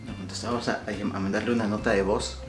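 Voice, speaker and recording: A man's voice: fairly low pitch, steady tone, quick pace. A young adult man with a Mexican accent chatting casually and playfully to viewers as a vlog host, slangy and often repeating himself. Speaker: YouTube prankster host. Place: small indoor room with shelves. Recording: roomy echo, light music behind.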